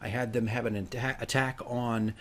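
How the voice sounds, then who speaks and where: A man's voice: mid-range voice, little pitch movement, normal speed, fairly bright tone. A man talking casually as a dungeon master, working from notes and often checking in with "right?". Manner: chatty, self-correcting, often tags sentences with "right?". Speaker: dungeon master giving game tips.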